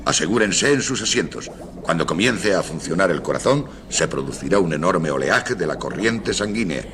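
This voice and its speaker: A man's voice: low voice, lively intonation, moderate pace, noisy background. An actor playing a stern, grave male doctor with a curt, commanding manner in a radio drama. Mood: urgent.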